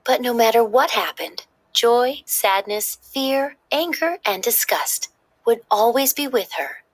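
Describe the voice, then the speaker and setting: Animated woman storybook narrator, slightly husky. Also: fairly high pitch, slow pace, poor audio. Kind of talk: expressive read-aloud narration.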